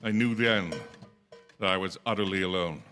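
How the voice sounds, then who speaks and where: A man acting out a haunted young driver in a radio suspense play, his voice forceful and sharp-edged. He speaks haltingly, sometimes stammering, full of dread. The sound rings in a big theatre hall, with music around it. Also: deep voice. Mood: haunted, despairing. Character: haunted, desperate young male motorist unravelling with dread. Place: theatre stage. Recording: live theatre stage, long hall reverb, music.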